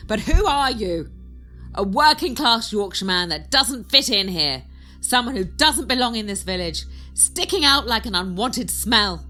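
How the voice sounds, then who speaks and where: Actress as snobby lord's widow, curt and indignant, bright cutting voice, really animated, audio drama. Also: female voice, a little high, a little slow, faint music behind. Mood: indignant, scornful. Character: haughty, snobbish widow of a village lord. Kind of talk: podcast audio drama acting.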